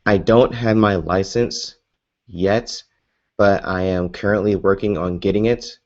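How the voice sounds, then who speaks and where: A man's voice: deep voice, steady tone, unhurried, a little mellow, highs cut off. A young American man chatting casually in a live Q&A vlog, rambling with many fillers and restarts. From United States. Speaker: vlogger.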